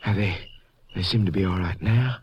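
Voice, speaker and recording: An actor playing a sick, frightened rancher husband and father in a 1950s radio drama, speaking in a halting, anxious way. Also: low-pitched, dull audio, a little hiss or hum, natural voice. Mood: uneasy.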